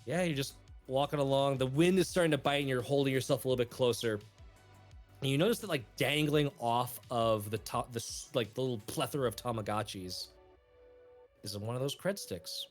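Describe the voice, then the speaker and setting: A man narrates a tabletop game as its game master in a loose, chatty way full of fillers. His voice sits at an ordinary middle pitch. Faint music plays behind him. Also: fairly quick.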